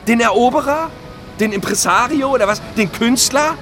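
A middle-aged man in a stage-like radio drama, whose voice sits high. He complains in an agitated, halting stammer. He sounds wounded and self-pitying, firing rhetorical questions. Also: a bit bright, soft background music. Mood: indignant, bewildered. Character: aggrieved middle-aged man whining over lost privileges. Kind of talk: theatrical stage monologue.

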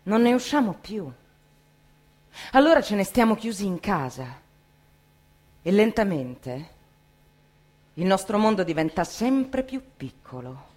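A woman reciting a dramatic theatrical monologue in an impassioned, emphatic way, with deliberate pauses. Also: a little high, darkish tone.

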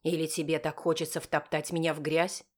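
A woman's voice: sits low, flat delivery, fairly quick. A woman voicing a stern, wounded father, curt and firm, in an audiobook drama. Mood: stern, bitter. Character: stern, wounded, authoritative father of a teenage girl.

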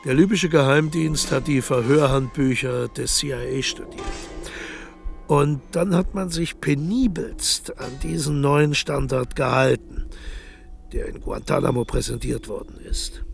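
An actor playing a vain, sardonic aging dictator in a radio play, mocking and grandiose, sinking into low sneering murmurs. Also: male voice, unhurried, vocal fry, soft background music. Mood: cynical. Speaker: title character in a radio play.

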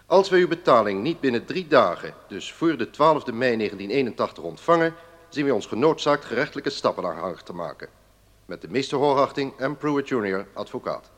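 An actor plays a pompous male lawyer in a radio drama. He reads a formal letter sternly, in a medium-pitched voice. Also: animated, fairly quick, natural voice. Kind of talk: radio play, formal letter read aloud.

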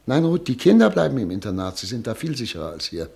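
Resigned refugee doctor, radio play, plain mid voice, quietly firm. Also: male voice, medium pitch, sing-song, fast, creaky. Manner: subdued, fatalistic, earnest.

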